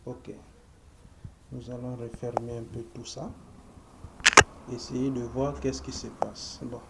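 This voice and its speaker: A French-speaking man teaching web coding, thinking aloud as he types, often checking in with a quick "ok" to his viewers. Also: mid-range voice, level tone, creaky. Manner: slow, thinking aloud while typing, frequent "ok", addresses viewers. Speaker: web development instructor. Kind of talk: screen-recorded coding tutorial. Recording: loud background noise.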